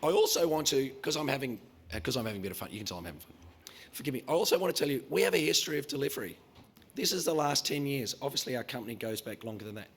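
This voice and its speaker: A man speaks at a conference, his voice worn and hoarse from losing it. He delivers his talk in a brash, confident, quick-fire way, and his pitch sits in the middle of the range. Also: fairly bright tone. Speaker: mining company CEO presenting. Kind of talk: conference presentation. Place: conference hall.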